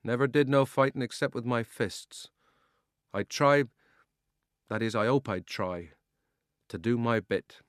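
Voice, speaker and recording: A man's voice: medium pitch, a little slow, long pauses, clean tone, no echo, studio quality, lightly acted. A man doing a humble Cockney cabman. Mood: earnest. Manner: deferential, plain-spoken, slightly flustered. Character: humble, earnest male London cabman, middle-aged. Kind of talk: storybook reading.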